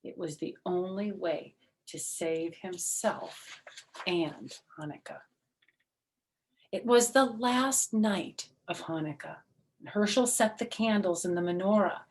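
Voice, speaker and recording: A woman narrating a children's story aloud in an expressive, dramatic and deliberate way. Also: lowish voice. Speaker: storyteller reading a picture book aloud.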